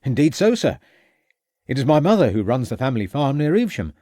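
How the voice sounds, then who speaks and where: A man's voice: medium pitch, sing-song, brisk, warm tone, no room sound, clean studio sound, pitched above the performer's own voice. A man voicing a hearty, deferential rural police constable in an audiobook mystery. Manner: bluff, deferential.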